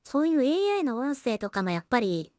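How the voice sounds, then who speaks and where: Female creator, fairly high voice, casual working commentary half to herself, close dry sound. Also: big pitch swings, quick pace, darkish tone, no room sound. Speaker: solo animation creator and voice actor. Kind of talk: casual production commentary, thinking aloud.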